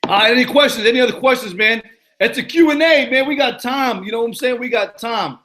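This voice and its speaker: A man's voice: very high-pitched, a little fast, bright, forceful tone. A man with a New York accent hosting a live motivational Q and A, talking emphatically and repeating himself. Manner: emphatic, repetitive, preachy, keeps asking "you know what I'm saying". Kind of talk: live-stream Q and A monologue. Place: living in Arizona.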